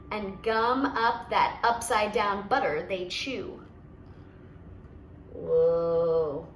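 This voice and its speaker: Slow-paced woman reading a children's story. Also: mid-range voice, reverberant, low-quality recording. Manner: expressive, deliberate, commenting in simple asides. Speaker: storyteller reading a picture book aloud. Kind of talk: children's read-aloud with asides. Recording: noticeable background noise.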